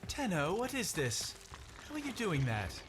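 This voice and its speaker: Under loud rumbling game ambience, a haughty, greedy dealer in antiquities. His fairly high voice swoops theatrically through every line. He is pompous and openly disdainful, a video game snob with an eye for profit. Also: wildly swooping pitch, measured pace, heavy background noise, heavily acted. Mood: alarmed. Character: haughty, greedy male relic dealer scornful of local culture.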